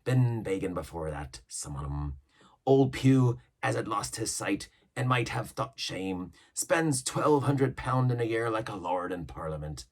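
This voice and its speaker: A man's voice: fairly low pitch, animated, measured pace. A man voicing a scheming older pirate cook who talks in a coaxing, boastful seaman's way.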